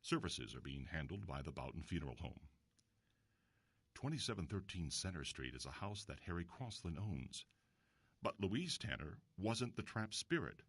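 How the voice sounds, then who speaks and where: A man playing an earnest, inquisitive investigator in an audio drama, telling a mystery in a measured storytelling way. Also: deep voice, sing-song, a bit bright, slightly rough, no room sound, exaggerated voice. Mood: reflective, then grave, intrigued. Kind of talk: audio drama acting with first-person narration.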